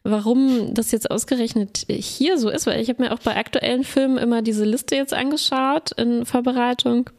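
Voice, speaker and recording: A woman's voice: a little high, steady tone, moderate pace, few pauses, darkish tone. A woman talking in a casual conversation, explaining her thoughts with many fillers and agreeing hums.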